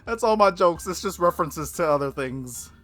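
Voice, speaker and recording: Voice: mid-range voice, expressive, normal speed, a bit bright. A let's play streamer reading a visual novel aloud, with casual, jokey asides and stumbles over words. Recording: music behind the voice. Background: background music.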